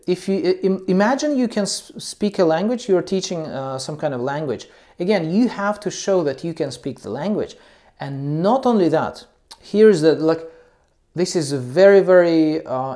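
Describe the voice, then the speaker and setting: A man's voice: on the high side, warm tone. A man who teaches English, a non-native speaker, talking loosely about teaching with many ums and uhs. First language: not English. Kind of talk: casual talk to camera about teaching.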